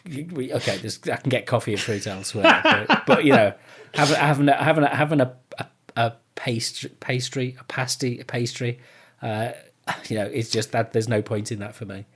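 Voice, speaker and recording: A man hosting a podcast in casual chat, often halting and repeating words and phrases as he talks. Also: mid-range voice, animated, fairly bright tone, slightly rough. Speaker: podcast host.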